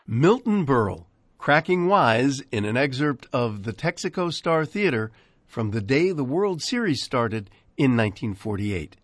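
A man's voice: mid-range voice, big pitch swings, a little slow, slight background noise. An American man hosting a radio show, reading a scripted introduction to old-time programs.

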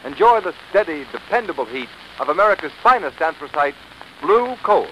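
A man's voice: fairly high pitch, sing-song, a little fast, bright, forceful tone, poor audio. A man announcing in a dramatic, declamatory, scripted 1930s radio style. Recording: vintage 1930s radio recording with hiss.

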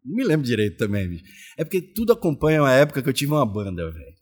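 A man in his forties with a Brazilian accent and an average-pitched voice. He talks casually as a guest, in informal, slangy language, as if among friends. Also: very animated, rapid-fire, a little mellow, breathy edge. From Salvador, Bahia, Brazil; moved to São Paulo state as a child. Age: 40s. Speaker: interview guest. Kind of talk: casual chat.

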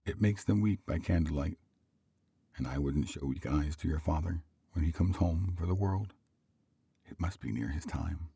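A man voicing a gentle, sorrowful mother in a storybook, speaking softly and tenderly as she tries to stay steady. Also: rumbling low, normal speed, gravelly, slightly rough, no echo, studio quality, heavily acted. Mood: quiet sorrow. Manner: soft, steady, tender, faltering slightly.

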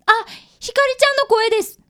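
A woman's voice: very high-pitched, steady tone, a little fast, pushed and bright, no echo, clean studio sound. A woman voicing an earnest fifth-grade boy who is an apprentice detective and narrates a radio drama. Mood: worried, eager. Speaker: narrator and actor.

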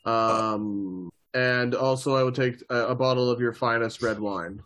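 A man's voice: medium pitch, fairly even intonation, unhurried, fairly bright tone. Man casually playing a tabletop game. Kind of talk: casual joking roleplay chat.

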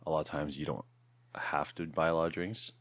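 A podcast host in his thirties talks loosely and rambles, laughing between thoughts. His voice is very low and raspy, worn hoarse. The sound is close and dry. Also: steady tone, a little fast, fairly bright tone, dry recording. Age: 30s. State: hoarse from shouting the night before. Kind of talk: casual podcast chat.

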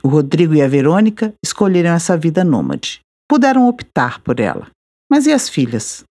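Brazilian woman, podcast host, scripted narration, very low voice, measured. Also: wildly swooping pitch, mellow, husky. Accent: Brazilian Portuguese.

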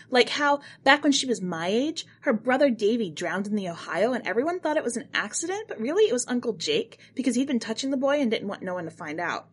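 A chatty small-town woman, acted out by a female narrator in an audio short story. She gossips eagerly, her pitch jumping around with lots of animation, like a talkative cousin spinning family tales. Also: husky.

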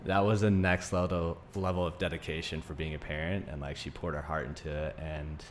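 A man's voice: very deep voice, level tone, brisk, a little hiss or hum. A man in his thirties from Greece, Denmark and Arizona, talking casually as an interview guest in an informal, rambling storytelling way. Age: 30s. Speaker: podcast guest, naturopathic doctor.

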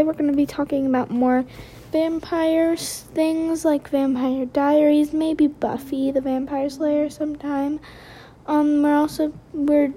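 A woman's voice: high-pitched, warm tone, poor audio. A young woman hosting a casual solo podcast from her bedroom late at night, chatty and rambling with lots of fillers, sounding tired. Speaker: podcast host.

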